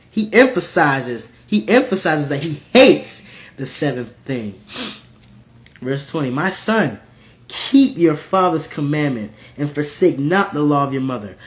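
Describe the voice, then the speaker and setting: A man with a stuffy nose casually reading Bible verses aloud and explaining them in slangy, animated commentary. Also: fairly high pitch. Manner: animated, slangy, acts out voices.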